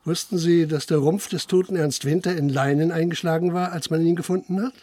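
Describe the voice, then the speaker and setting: A male actor in a German radio crime drama playing a dutiful local police officer, matter-of-fact and clipped, with a husky voice. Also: fairly high pitch, fairly even intonation, fairly quick, a little mellow, natural voice. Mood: inquiring.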